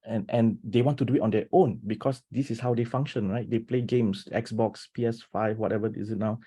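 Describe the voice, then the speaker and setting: A teacher on a video call webinar, speaking with quick, animated energy and plenty of restarts. His voice sits low and mellow, with a husky edge. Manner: animated, talks fast with many restarts and rhetorical "right?". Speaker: teacher presenting at an education webinar. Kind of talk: enthusiastic webinar talk.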